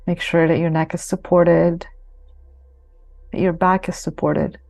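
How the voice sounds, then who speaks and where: A woman leading a guided yoga nidra meditation in a soothing, unhurried way, with long pauses between phrases. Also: lowish voice, level tone, creaky, no echo. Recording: close dry voice over soft music. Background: soft music.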